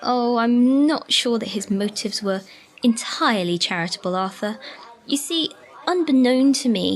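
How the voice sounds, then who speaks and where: Radio play: wry female carer actress, highish animated voice, conspiratorial, mock-scolding. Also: poor audio. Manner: sardonic, knowing, mock-scolding. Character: wry, conspiratorial female care-home worker, knowing and affectionate. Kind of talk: amateur radio play acting.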